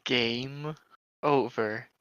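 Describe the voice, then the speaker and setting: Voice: medium pitch, very slow, a bit bright, smooth, no room sound. A co-host doing a playful, theatrical comedic read-aloud on a slightly dull microphone. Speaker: co-host reading horror stories for laughs.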